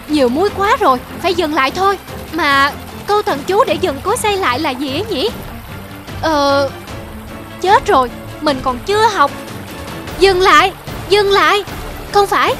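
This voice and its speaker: A greedy, hapless man from a cartoon folk tale, acted by a woman. The voice sits extremely high and tends to exclaim and question itself. Music plays behind it.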